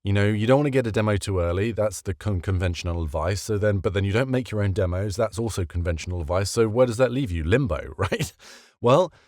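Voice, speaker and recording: Guy with a lowish voice explaining stuff like a coach, chatty, asks questions as he goes, super dry close sound. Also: expressive, quick pace, a little mellow, dry recording. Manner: conversational, rhetorical questions, emphatic repetition. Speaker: voiceover coach giving career advice. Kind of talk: casual explanatory talk to camera.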